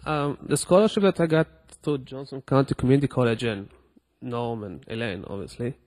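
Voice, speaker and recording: A man's voice: mid-range voice, fairly quick, darkish tone, in a large hall. A young man from Israel with an Israeli accent, a native Hebrew speaker, giving a short earnest closing speech. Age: young adult. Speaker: former student body president.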